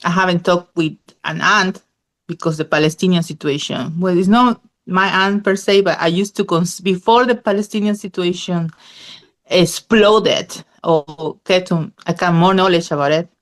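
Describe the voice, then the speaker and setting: A woman co-hosting a casual podcast, talking in an animated, emphatic way and laughing easily. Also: on the low side, moderate pace. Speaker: podcast co-host. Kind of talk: casual panel discussion.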